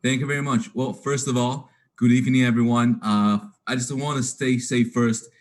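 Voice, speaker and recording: Medium-pitched man giving an informal talk. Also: steady tone, moderate pace. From Taiwan, moved to Canada at twelve. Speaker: guest speaker, business trainer. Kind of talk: informal motivational presentation.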